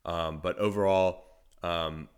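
A man with a naturally deep voice, hosting a solo sports podcast in a conversational, analytical way, often restarting his phrases. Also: steady tone, slow, clear, resonant tone. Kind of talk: solo podcast commentary and storytelling.

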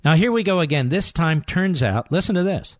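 A man's voice: a little high, expressive, a little fast, no room sound, studio quality. A man hosting a call-in radio talk show, talking emphatically and playfully while he takes calls. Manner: emphatic, playful, swooping delivery. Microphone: studio microphone.

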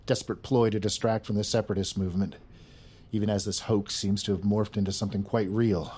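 A blunt federal boss in an audio drama, his voice low and husky. He answers in short, dismissive lines. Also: steady tone, fast, warm tone. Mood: curt. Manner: curt, dismissive, swears. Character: gruff male government boss, impatient. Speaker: senior federal official.

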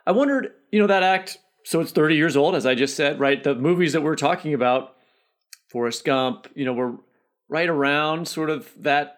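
A man's voice: fairly high pitch, normal speed. A man co-hosting a podcast, asking discursive, conversational questions that circle toward their point. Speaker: podcast co-host, interviewer.

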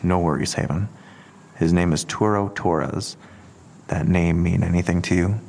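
A patient police detective in an audio drama. His voice is deep with a gravelly creak, and he speaks in a measured, earnest, persistent way. A faint ambient noise sits behind him. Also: steady tone, darkish tone. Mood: probing. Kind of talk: full-cast audio drama acting.

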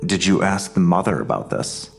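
A sober male investigator with a very deep voice. He talks in short, probing questions, in audio drama style, with music underneath. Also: very animated, normal speed, vocal fry, exaggerated voice. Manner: terse, questioning.

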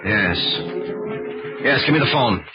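An actor playing a commanding senior FBI agent in a 1940s radio drama, clipped and authoritative. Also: male voice, fairly low pitch, animated, a little slow, loud music behind the voice. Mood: urgent, focused. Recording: muffled old radio recording.

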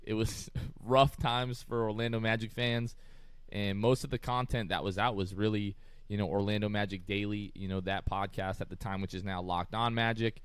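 Upbeat low-voiced male podcast host. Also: steady tone, normal speed, clear, resonant tone, dry recording. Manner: upbeat storyteller, laughs often. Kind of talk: casual podcast chat.

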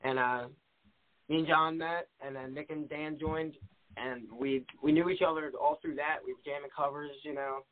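A man's voice: on the high side, fairly even intonation, moderate pace. Laid-back twenty-year-old guy, tinny line. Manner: laid-back, chatty, laughs easily. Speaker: guitarist in a rock band. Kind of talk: casual interview answers. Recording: tinny phone-like sound.

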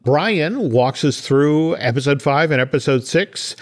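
A man's voice: a little high, animated, measured pace. A man hosting a podcast, talking in a casual, chatty way about entertainment news, often repeating words for emphasis. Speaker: podcast host.

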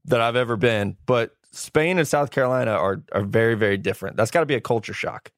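A man hosting a podcast interview, talking in a relaxed, chatty way with quick asides. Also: medium pitch, no room sound, clean studio sound. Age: adult, older than a college player. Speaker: podcast host. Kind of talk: casual interview chat.

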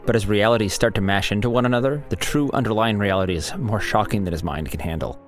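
Guy doing a scripted show intro, lowish voice, music underneath. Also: quick pace. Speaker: presenter and co-writer. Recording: music bed behind.

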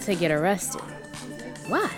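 A woman's voice: fairly low pitch, sing-song, takes it slowly, over loud music, exaggerated voice. A woman acting a brash, cheeky sneak thief in an audio comedy drama, with glib, teasing, slangy patter. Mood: breezy, probing. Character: brash, cheeky sneak thief named Simon, sociable hustler.

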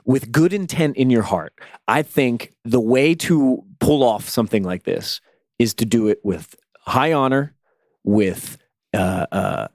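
A man's voice: medium pitch, lively intonation, a little mellow, no echo. Male co-host, considered delivery with wry asides, dry close-mic sound. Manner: thoughtful, measured, joking asides. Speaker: podcast co-host. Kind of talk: casual podcast conversation.

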